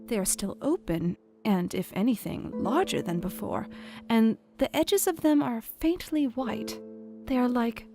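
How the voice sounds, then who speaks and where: A woman playing a worried young Victorian Englishwoman who confides earnestly in her journal in a measured, literary way. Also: on the high side, expressive, over music. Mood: uneasy concern. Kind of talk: dramatic audio drama diary reading.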